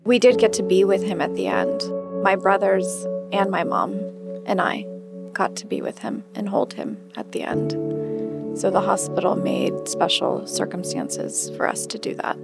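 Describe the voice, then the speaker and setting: A woman's voice: mid-range voice, expressive, moderate pace, vocal fry, loud music behind the voice. A woman giving a personal, spoken reminiscence as an interviewee. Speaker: interviewee remembering her late father.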